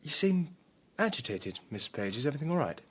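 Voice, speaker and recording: A man's voice: on the high side, big pitch swings, fairly quick, a bit bright, slightly rough, heavily acted. An actor playing an earnest, bashful young male doctor in a radio drama, hesitant and wryly humorous. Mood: guarded.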